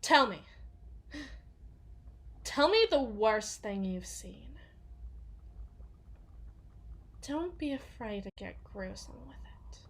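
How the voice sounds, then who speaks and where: A woman playing a gruff, sweary villain's bodyguard who confides haltingly and sardonically in an intimate ASMR audio roleplay. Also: medium pitch, bright, forceful tone, heavily acted. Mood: guarded confession. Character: gruff, foul-mouthed female villain's bodyguard, sardonic and haunted.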